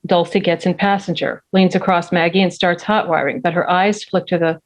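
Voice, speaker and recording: A woman's voice: fairly low pitch, steady tone, a little fast. A woman reading out stage directions as scripted narration for a screenplay table read.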